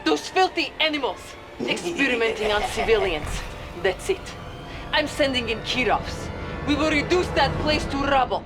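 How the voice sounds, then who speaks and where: A woman's voice: sits high, lively intonation, moderate pace, over music. A woman playing a fierce, proud Soviet officer in a game cutscene, giving stern orders. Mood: furious. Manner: stern, commanding, patriotic. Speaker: Soviet commander.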